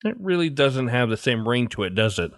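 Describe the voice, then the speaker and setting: A man's voice: mid-range voice, very animated, brisk, fairly bright tone, no echo, clean studio sound. A man hosting a podcast, talking directly to listeners as he goes through messages and show announcements. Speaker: podcast host.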